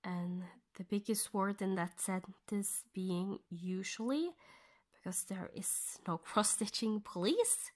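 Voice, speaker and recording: A woman from Norway with a Norwegian accent, speaking English as a second language, explaining her cross-stitching in a soft, half-whispered way, pausing as she thinks aloud. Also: medium pitch. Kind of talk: casual stitch-along tutorial.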